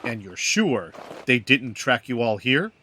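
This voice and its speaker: An actor playing a gruff, fatherly old mentor in an audio drama, with a dry, sardonic manner. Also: medium pitch, very animated, unhurried, noisy background. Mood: dry, wary.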